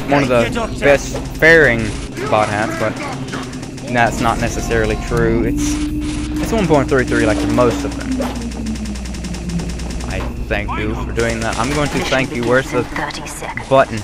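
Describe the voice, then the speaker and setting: A young man giving rambling, chatty commentary while playing a game, his voice getting hoarse. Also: mid-range voice. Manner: rambling, self-correcting, chatty. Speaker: gaming video host. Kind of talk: casual gameplay commentary. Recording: loud game music behind. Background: game sounds and gunfire.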